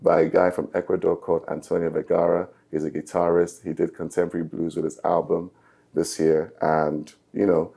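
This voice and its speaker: A middle-aged man from Nigeria whose first language is Yoruba, talking in an interview as a musician guest in an earnest, upbeat way with short measured phrases. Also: sits low, flat delivery, vocal fry, warm tone, slightly rough. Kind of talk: reflective interview talk.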